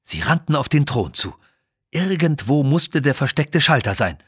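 A man's voice: fairly high pitch, sing-song, normal speed, fairly bright tone, husky, no echo, studio quality. A man narrating a scripted children's adventure story in a dramatic style.

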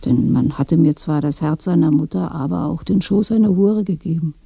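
An actress in a radio drama playing a weary, bitter old dead woman in a shared grave, speaking in a hushed voice. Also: sits low, normal speed, creaky, slightly rough, no room sound, heavily acted. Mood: bitter, rueful. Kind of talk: German radio drama, intimate monologue.

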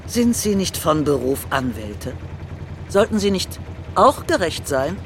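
A woman's voice: deep voice, very animated, a little slow. A woman playing a defiant crusading journalist who poses as a baroness, theatrical and indignant in her delivery. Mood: grandly triumphant. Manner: theatrical, indignant, grandiose declaiming. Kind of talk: dramatic stage-like acting. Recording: clear background noise, vehicle-like ambience.

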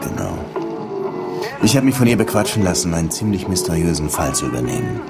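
An actor playing a world-weary, hard-drinking Havana police detective who narrates and talks laconically in a German radio drama. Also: male voice, sits low, very animated, fast talker, over loud music. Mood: confiding, weary.

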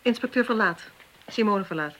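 A woman's voice: medium pitch, animated, normal speed, fairly bright tone, a little hiss or hum, close to an everyday voice, poor audio. A woman playing a world-weary, ironic and brusque police inspector with cultured airs in a Dutch radio play. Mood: probing.

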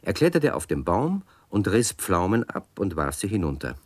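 Low-pitched male children's story narrator. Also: huge pitch swings, normal speed, no echo.

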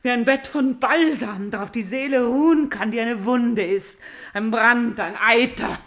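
A tormented princess bent on vengeance in a classical radio play. Her womanly voice sits fairly high and moves theatrically from hushed, breathy confession to fierce, fevered outcry. She sounds obsessive and full of grief. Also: unhurried. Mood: ecstatic. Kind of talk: German classical radio drama acting.

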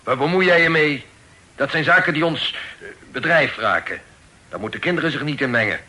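An imperious pirate lord in a radio play, with a very sharp, forceful voice. He is stern and brusque, curt in every order he gives. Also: on the high side, lively intonation, fairly quick, exaggerated voice. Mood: stern, irritated. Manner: curt, commanding. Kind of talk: Dutch radio drama acting.

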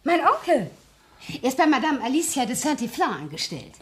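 A woman's voice: high voice, big pitch swings, brisk. A woman acting a blunt, chatty, nosy working-class maid in a German radio play, speaking in a colloquial, unabashed way. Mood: cheeky.

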